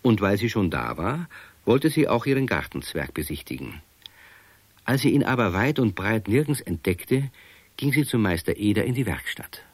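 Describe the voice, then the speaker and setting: Deep-voiced radio play narrator. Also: male voice, sing-song, moderate pace, husky. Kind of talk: scripted storybook narration.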